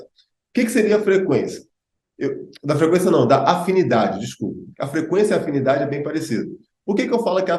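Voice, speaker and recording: A man's voice: fairly high pitch, a little mellow, roomy sound. A Brazilian man, an English teacher and host, explaining in a chatty way with many fillers and asides. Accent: Brazilian Portuguese. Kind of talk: casual explanatory chat.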